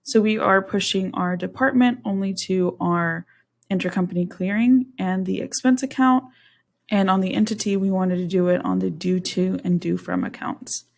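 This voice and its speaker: A woman narrates a software demonstration in an instructional, step-by-step way. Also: medium pitch, steady tone, moderate pace, gravelly. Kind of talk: screen-share software tutorial narration.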